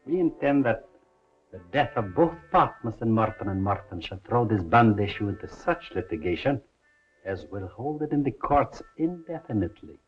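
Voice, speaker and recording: An actor playing a cold, calculating male villain in a 1930s crime film, speaking formally and in a measured, menacing way. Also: fairly low pitch, sing-song, slightly rough. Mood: cold menace. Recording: muffled 1930s film soundtrack, faint music.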